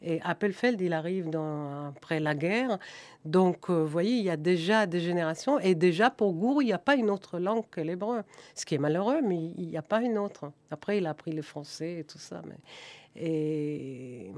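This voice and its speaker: A woman filmmaker speaks as a radio guest in a lively, conversational way with hesitations. Her voice is fairly low for a woman. The sound is dry and close to the mic. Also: normal speed, no room sound. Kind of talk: radio discussion.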